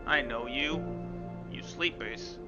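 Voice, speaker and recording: A man voicing a calm street cook with a deep, even tone and slow, measured delivery. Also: lightly acted. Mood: knowing. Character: calm middle-aged male street cook. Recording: dull lo-fi sound with game music.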